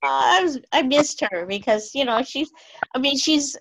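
Higher-voiced woman, hesitant in discussion. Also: measured pace, fairly bright tone, rough recording. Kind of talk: casual questioning in group discussion. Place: video call.

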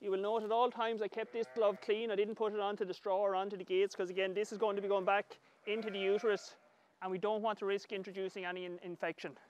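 High-pitched Irish man explaining farm work. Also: highs cut off, a little hiss or hum. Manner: calm, explanatory, measured. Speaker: agriculture professor demonstrating lambing. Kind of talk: hands-on instructional commentary. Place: large open livestock shed with straw pens.

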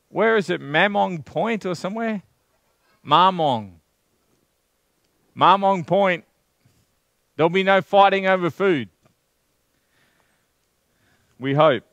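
Australian pastor in his forties, relaxed conversational preacher, fairly high voice, closely miked and dry. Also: smooth, dry recording. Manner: conversational preacher, asks the congregation questions, stresses words slowly. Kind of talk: sermon. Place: large church hall with carpeted stage.

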